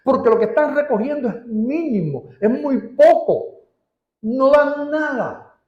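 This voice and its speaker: A man preaching a sermon as pastor, stretching out key words and rising in pitch for emphasis. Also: expressive, unhurried, roomy sound, muffled recording. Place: church.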